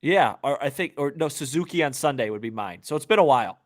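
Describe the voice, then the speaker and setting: A man's voice: medium pitch, expressive, quick pace. A man co-hosting a sports podcast, chatting casually with opinions and repeating phrases for emphasis.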